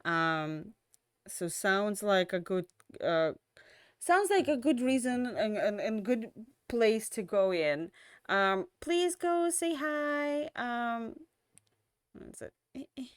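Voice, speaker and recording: A young woman streamer chatting casually at the end of a stream, rambling with lots of fillers and restarts, tired and slowly losing her voice. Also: a little high, sing-song, clear, resonant tone, dry recording, clean studio sound.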